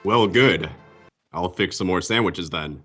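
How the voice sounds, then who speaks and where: A grave, earnest vigilante hero played by a man in an old-time radio drama. The voice sits very low and has a hard, bright edge. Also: a little slow, breathy edge, soft background music, full character voice. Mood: hospitable, easygoing.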